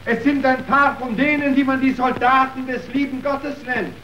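Formal go-between in a radio play, sharp voice, echoey and off mic. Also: male voice, very high voice, fairly even intonation, moderate pace, highs cut off. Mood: deferential. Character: dutiful male underling announcing visitors to master. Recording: echoey, distant, faintly noisy radio recording.

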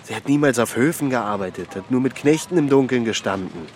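A man acts a meddling countryman of a radio drama, full of pointed questions and scorn for the poor. His mid-pitched voice moves in lively, insinuating swings. Light outdoor noise sits behind him. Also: fairly quick, lightly acted. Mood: scornful warning. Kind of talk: scripted German radio drama acting.

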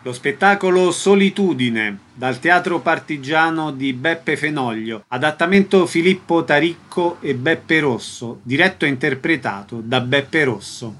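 A man's voice: on the high side, animated, a little slow, few pauses, fairly bright tone. A man reading a scripted radio announcement.

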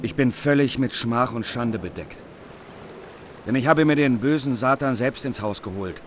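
A man's voice: mid-range voice, normal speed, over music, natural voice. An actor playing a humiliated, anxious king with a plaintive, pleading manner in a literary radio drama. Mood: bitter, ashamed.

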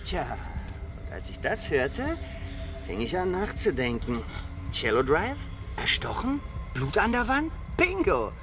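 Jittery, excitable would-be screenwriter. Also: male voice, high voice, unhurried, over music, full character voice. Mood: excited, taunting. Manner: hesitant, twitchy, boastful when excited. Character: jittery male diner regular, taunting would-be screenwriter. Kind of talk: German radio drama acting.

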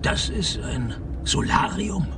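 An actor playing a wry male private detective in a radio crime comedy, speaking in a dry, ironic, deadpan way. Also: mid-range voice, takes it slowly, background noise. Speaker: narrator and lead actor. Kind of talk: scripted radio crime comedy with first-person narration.

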